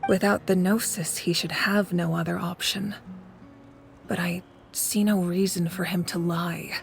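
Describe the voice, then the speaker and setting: A woman playing a composed, resolute female warrior leader in a video game, speaking in a measured, earnest and authoritative way. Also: lowish voice, steady tone, creaky. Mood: calm, sincere. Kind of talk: dramatic video game voice acting. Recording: game music behind the voice.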